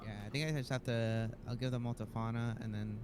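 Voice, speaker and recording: Man acting a busy roleplay character, fast talker, casual, clear background noise. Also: medium pitch, steady tone, natural voice. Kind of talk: improvised in-character game roleplay.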